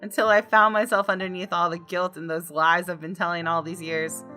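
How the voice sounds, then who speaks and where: A woman, a gaming streamer reading visual novel narration aloud in an expressive, dramatic way. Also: on the low side, fairly quick. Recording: music behind the voice. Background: game music.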